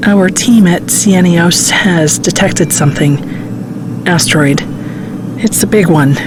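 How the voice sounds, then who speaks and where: A woman playing a grave, weary scientist delivering dire news in an audio drama, somber and deliberate. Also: lowish voice. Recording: noisy car ambience.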